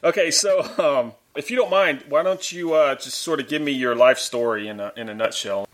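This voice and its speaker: A man's voice: mid-range voice, moderate pace, fairly bright tone. Easygoing male podcast host. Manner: friendly, easygoing interviewer. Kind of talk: casual interview chat.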